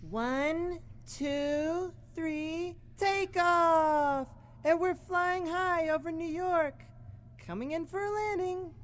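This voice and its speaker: Little boy at play, voiced by a woman, extremely high, drawn-out delivery, excited make-believe, radio drama. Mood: playful. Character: small boy happily playing airplanes. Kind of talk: student radio play acting.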